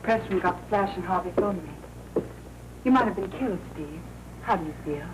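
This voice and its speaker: An American actress playing a concerned but tart newspaper colleague in an old crime film. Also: female voice, fairly low pitch, expressive, moderate pace, close to an everyday voice. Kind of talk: 1940s film noir acting. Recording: very noisy old film soundtrack.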